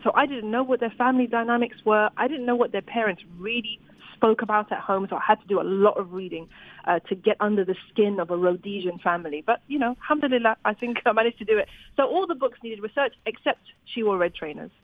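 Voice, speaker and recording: A woman's voice: medium pitch, fast talker, no room sound. A woman novelist who grew up in Zimbabwe, talking animatedly about her books in an interview, often restarting her sentences. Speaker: novelist guest. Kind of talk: interview conversation. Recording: dry, phone-like line.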